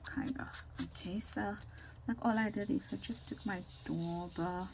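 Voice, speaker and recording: A woman giving a casual, chatty craft demonstration, thinking aloud in a rambling way with a loud, carrying voice, recorded without a separate microphone. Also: medium pitch, a little slow, gravelly. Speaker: craft tutorial host. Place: indoors at a home craft desk. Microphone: camera or phone without separate microphone. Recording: noisy room, no microphone.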